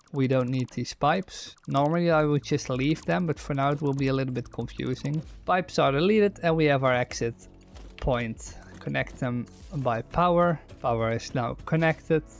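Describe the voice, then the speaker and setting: A young man from the Netherlands with a Dutch accent talks his way through a factory-building game, restarting words as he thinks aloud. His voice has a slightly gravelly, fry-tinged texture at a middling pitch. Soft game music plays faintly underneath. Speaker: streamer. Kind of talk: gameplay commentary while reading chat.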